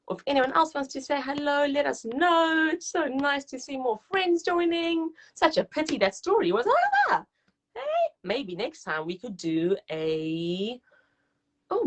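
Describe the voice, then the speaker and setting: A woman hosting a live story time, talking to young children in an encouraging, gushing, sing-song way. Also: high-pitched. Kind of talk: live read-aloud and chat addressed to young children.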